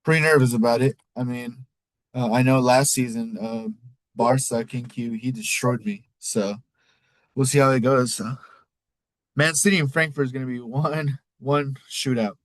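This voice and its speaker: Man, Mexican accent, solo podcast host, loose rambling delivery, mid pitch, close dry mic. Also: steady tone, normal speed, dry recording. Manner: rambling, loose, cusses casually. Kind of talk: casual solo recap talk.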